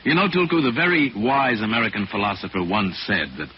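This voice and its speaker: An actor playing a wealthy, genial American hero with polished, courteous delivery in a 1940s radio drama. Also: male voice, lowish voice, big pitch swings, a little fast. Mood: relaxed, genial. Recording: noisy, muffled 1940s radio recording.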